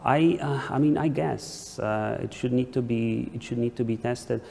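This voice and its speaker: Man, academic presenter in Q&A, fry-laden voice, tentative explainer, boomy room with faint noise. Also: moderate pace, darkish tone. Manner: hesitant, frequently checks with "right?". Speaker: academic presenter answering questions. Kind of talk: conference question-and-answer, explaining. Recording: echoey hall, some background noise.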